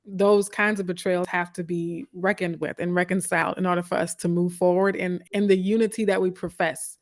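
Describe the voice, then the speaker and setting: A woman's voice: lowish voice, level tone, fairly quick. Reflective woman hosting a discussion. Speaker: host interviewing a guest. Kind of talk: thoughtful conversational discussion. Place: live online video call.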